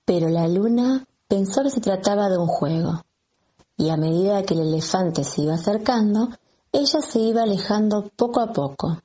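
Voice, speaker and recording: A woman's voice: mid-range voice, lively intonation, normal speed, dark tone, breathy edge. A woman with an Argentine accent, a kindergarten teacher narrating a children's story.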